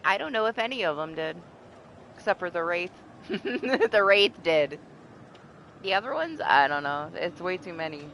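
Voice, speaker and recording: A woman's voice: on the low side, expressive, measured pace, long pauses, fairly bright tone. Sniffly woman streamer, noisy background. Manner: chatty, talking through gameplay, occasional swearing. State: sniffly and congested. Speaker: game streamer. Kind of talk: casual live-stream chat.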